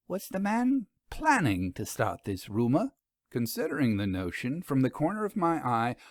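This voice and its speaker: A man reading a scripted story as narrator, in a measured, literary storytelling manner. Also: mid-range voice, no echo, studio quality.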